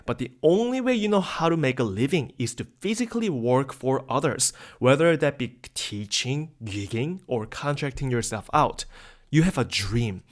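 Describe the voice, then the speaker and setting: A man in his thirties from South Korea hosting a webinar, delivering an upbeat, persuasive scripted sales pitch. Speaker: webinar host.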